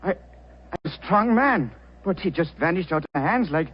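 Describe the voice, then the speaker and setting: An actor playing a strong but hesitant business partner under suspicion in 1940s radio drama, agitated. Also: male voice, high-pitched, animated, moderate pace, breathy edge. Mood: horrified. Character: strong man, suspected scheming business partner. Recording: muffled, faintly noisy 1940s radio recording.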